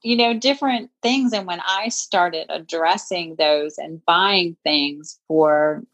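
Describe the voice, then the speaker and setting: Woman, fifties, slightly low pitch, casual guest chat, reflective, filler-heavy. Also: slow pace, fairly bright tone. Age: 50s. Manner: chatty and reflective, many "you know" fillers. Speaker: podcast guest, boutique owner.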